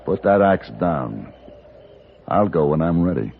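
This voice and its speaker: An actor playing a cool, unflappable hired gunman in an old radio western, deliberate and commanding. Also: sits low, expressive, gravelly, a little hiss or hum, full character voice. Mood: defiant. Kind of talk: 1950s radio western acting. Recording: dull old radio recording with music.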